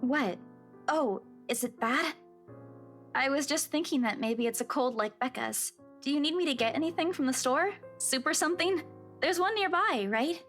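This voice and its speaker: A high-voiced, caring young friend. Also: female voice, moderate pace, a bit bright, faint music behind. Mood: concerned, eager. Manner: concerned, reassuring. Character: caring, gentle young woman, supportive friend. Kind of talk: visual novel game voice acting.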